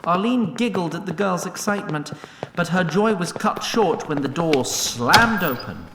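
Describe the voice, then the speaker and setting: Animated, echoey male fantasy narrator. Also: sits high, measured pace, very noisy. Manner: mock-grand, droll. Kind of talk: theatrical scripted fantasy storytelling.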